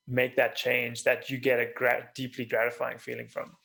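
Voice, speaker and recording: A man's voice: mid-range voice, completely flat delivery, normal speed, gravelly, pushed and bright. A man hosting a podcast in a casual interview style, feeling his way through his questions.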